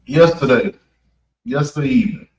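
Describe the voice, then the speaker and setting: An American man giving an off-the-cuff religious address, halting and repeating phrases for emphasis. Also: medium pitch, darkish tone, a little hiss or hum. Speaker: preacher giving a public address at a mosque. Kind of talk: extemporaneous religious talk. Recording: echoey room, distant mic.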